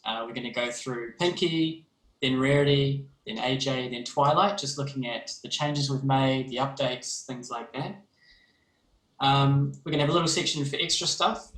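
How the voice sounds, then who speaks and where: A man's voice: fairly high pitch, fairly bright tone. A man from New Zealand with a New Zealand accent, a game developer chatting casually on a livestream and explaining plans with frequent ums. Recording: roomy echo.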